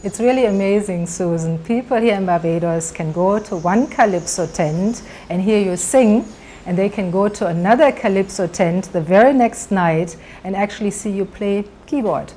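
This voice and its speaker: A woman interviewer putting prepared questions to a guest in a measured, formal way. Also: medium pitch, dark tone, soft background music. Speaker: interviewer, TV host.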